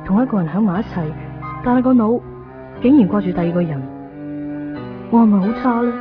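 A woman's voice: mid-range voice, brisk, close to an everyday voice. A young woman with a Hong Kong Cantonese accent acting a torn, guilty lover in a radio drama, speaking in a subdued, hesitant way. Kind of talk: radio drama dialogue and inner monologue. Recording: dull radio-drama recording, music behind.

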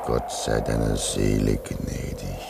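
An actor playing a pious, weary old man at a polar station in a German radio play, speaking solemnly and deliberately. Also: rumbling low, flat delivery, creaky, heavy background noise, full character voice. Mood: grieving, resigned. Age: older.

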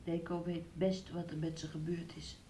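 A woman's voice: fairly low pitch, level tone, normal speed, dark tone, lightly acted. An actress playing a sick, bedridden young woman in a radio play, plaintive and pleading with her fiancé. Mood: wistful, hurt. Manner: plaintive, speaks of herself in third person.

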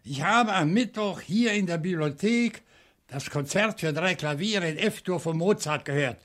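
A polite, bookish professor in a radio crime drama. His voice sits a little high for a man. He speaks with careful, deliberate precision and old-school courtesy. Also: a bit bright. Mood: polite, measured. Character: courteous, bookish professor, a night owl with a dog. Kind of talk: German radio crime play acting.

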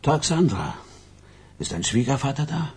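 An actor playing an elderly mobster, wry and gallant, with a husky, raspy voice, in a radio crime drama. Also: male voice, medium pitch, very animated, moderate pace, a little mellow. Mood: wry, courteous. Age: older.

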